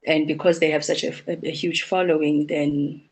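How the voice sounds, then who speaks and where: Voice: medium pitch, little pitch movement, normal speed, rough recording. An English teacher leading an online speaking class, encouraging the learners and affirming their points. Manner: encouraging, affirming with "truly" and "mm-hmm". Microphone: video call.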